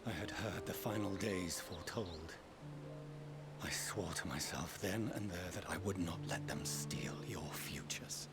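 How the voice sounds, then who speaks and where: Grave, low-voiced father. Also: a little slow, over loud music. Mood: haunted resolve. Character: grave older father, a dignified leader, earnest. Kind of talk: formal dramatic game dialogue. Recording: clear background noise.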